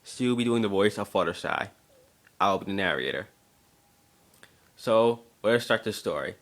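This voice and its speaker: A man's voice: fairly low pitch, animated, normal speed, fairly bright tone. A man narrating a story as an amateur dramatic reader, occasionally stumbling over the text.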